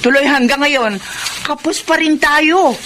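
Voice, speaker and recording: A woman's voice: high voice, a little slow, bright, forceful tone, a little hiss or hum. A woman acting a fed-up, nagging but principled wife in a Filipino radio drama. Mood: irritated.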